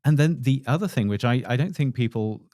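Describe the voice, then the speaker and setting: A man's voice: mid-range voice, brisk, no room sound, studio quality. A man in his fifties, co-hosting a podcast and talking thoughtfully about books in a casual chat. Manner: animated, thoughtful, frequent restarts. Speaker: podcast co-host.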